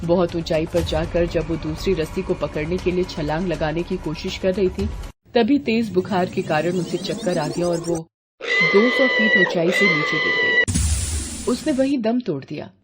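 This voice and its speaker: Animated woman storyteller over music. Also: lowish voice, normal speed. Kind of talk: dramatic scripted narration of children's horror tales.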